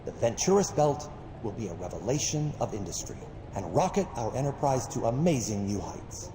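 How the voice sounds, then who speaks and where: An actor playing a pompous company chief executive giving a grand, scripted promotional address in a video game. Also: male voice, medium pitch, sing-song, moderate pace. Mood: grandly confident. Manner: pompous, visionary speechmaking. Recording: echoing hall announcement with background noise.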